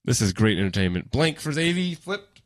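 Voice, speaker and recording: A man's voice: medium pitch, very animated, a little fast. A man co-hosting a podcast, talking in a laid-back, slangy way and leading the banter. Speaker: podcast co-host. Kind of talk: casual chat.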